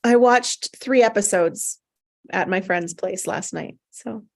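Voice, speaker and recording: A woman's voice: medium pitch, slow pace, vocal fry, breathy edge. A woman hosting a casual group chat in an informal, blunt way. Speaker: host of table read.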